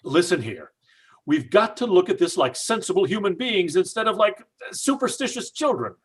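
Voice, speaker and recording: Actor as a scornful skeptic dad in an old-time radio play, husky voice, lively pitch. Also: male voice, moderate pace, full character voice. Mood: shaken. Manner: blustering and scornful. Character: stubborn skeptical father.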